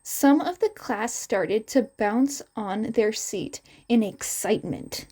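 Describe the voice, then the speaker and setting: A woman's voice: fairly high pitch, expressive, slow, mellow. A woman reading a story aloud as scripted narration. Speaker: podfic narrator.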